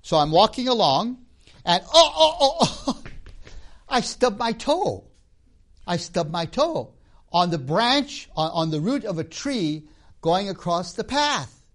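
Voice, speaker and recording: A man's voice: sits high, very animated, takes it slowly, fairly bright tone. A man who grew up in Tanzania giving a storytelling lecture on religion and myth, often ending points with a tag question.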